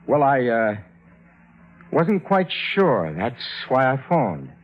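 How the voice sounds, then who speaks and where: An actor playing a troubled, skeptical friend in a 1950s radio drama, speaking and narrating with earnest, dramatic delivery. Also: male voice, mid-range voice, very animated, slow pace, very noisy. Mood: hesitant probing. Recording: dull 1950s radio recording with music.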